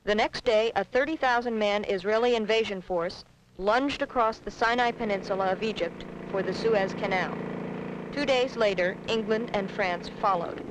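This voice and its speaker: A woman's voice: mid-range voice, normal speed, background noise. A woman reading scripted political narration on a 1970s documentary film soundtrack.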